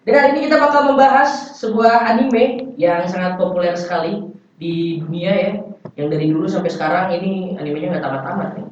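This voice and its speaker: A man's voice: high-pitched, steady tone, fairly quick. A young man hosts a podcast in speech, with an easy, informal interviewing style. He sounds distant in a very reverberant room. The recording is dull, with a little noise behind him. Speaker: podcast host. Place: indoor podcast studio. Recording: echoey room, far from mic, dull, faint noise.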